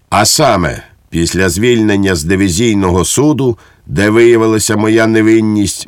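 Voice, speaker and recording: A man's voice: fairly low pitch, no echo, clean studio sound, lightly acted. A man voicing a serious, formally polite but cheeky volunteer soldier in an audiobook. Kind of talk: audiobook character acting.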